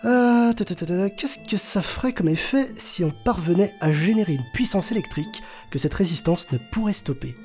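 A man's voice: sits high, animated, moderate pace, hardly any pauses, a little mellow, faint music behind. Man playing a proud, theatrical male physicist. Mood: scheming. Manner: theatrical, patriotic fervour. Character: Louis, proud, scheming French male physicist. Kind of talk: comedic audio drama acting.

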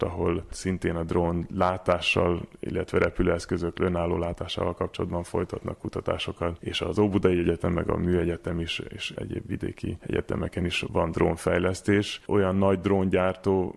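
Male expert, very low pitch, husky voice, measured explanatory interview delivery, matter-of-fact. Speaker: drone researcher, interview guest.

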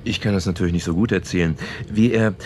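An actor plays a man telling a story in a radio play, with a fairly low voice. He is earnest and patient, hedging and halting as he goes, in a natural conversational style. There is some noise on the recording. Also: darkish tone, close to an everyday voice. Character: man haltingly retelling a novel to a sceptical woman.

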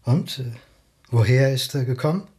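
An actor playing a polite, methodical police officer questioning a witness in a radio drama. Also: male voice, medium pitch, moderate pace, a little mellow, lightly acted. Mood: polite, probing. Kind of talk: naturalistic radio drama acting.

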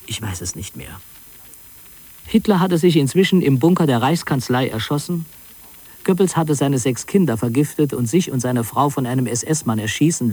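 A man narrating a scripted literary memoir in a measured, sober, reflective manner. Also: mid-range voice, mellow, slightly rough.

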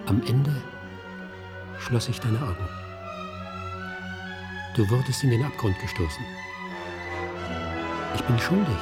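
An actor in a German radio play playing a defiant, guilt-ridden scientist with a grave, deliberate delivery. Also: male voice, medium pitch, animated, loud music behind the voice. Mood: grieving.

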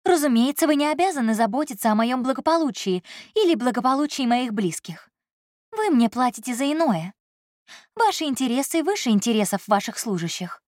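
A woman's voice: high voice, big pitch swings, a little fast, a little mellow, no room sound, studio quality, voice pushed up. A woman playing a defiant proud young woman. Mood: bitter. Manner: defiant, cutting, emotional.

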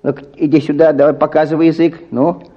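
An actor playing an officious, fussy village pharmacist with affected manners in a radio play. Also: male voice, a little high, little pitch movement, quick pace, highs cut off, natural voice. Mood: bossy. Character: officious, fussy male village pharmacist, smarmily gallant to women.

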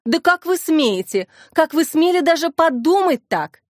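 A frightened young woman in a crime audiobook, acted out by a female narrator. Her voice is high and shrill, sobbing and pleading. The sound is close and dry. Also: animated, normal speed, dry recording. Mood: shocked, indignant. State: crying. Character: hysterical frightened young woman, sobbing accused press secretary.

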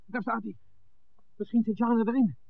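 A man's voice: extremely high pitch, fairly even intonation, normal speed, vocal fry, a little hiss or hum. An actor playing an anxious, impatient man searching for a missing woman in a 1960s radio drama. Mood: urgent. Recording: narrow, phone-like old radio recording.

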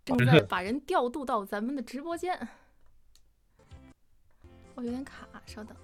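A woman's voice: high voice, expressive, normal speed. A woman hosting an informal live interview as the presenter of an online stream. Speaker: livestream host interviewing voice actors. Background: music.

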